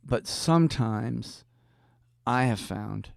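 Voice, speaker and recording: A man's voice: medium pitch, slow pace, gravelly, no room sound, studio quality. Older Southern US storyteller man. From Deep South, Georgia, United States. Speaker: professional storyteller, guest. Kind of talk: casual anecdotal storytelling in an interview.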